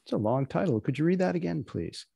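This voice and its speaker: A man's voice: a little high, lively intonation, fast talker, vocal fry, mellow, slightly rough, dry recording. A man hosting and moderating a session of readings on a video call. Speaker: host of dramatic readings session. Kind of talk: moderating a panel. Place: indoor room on a group video call.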